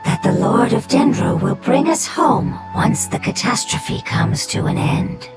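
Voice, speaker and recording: A woman voicing an ancient, sorrowful non-human being in a video game, calm, solemn and formal. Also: a little high, measured pace. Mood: earnest. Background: game music.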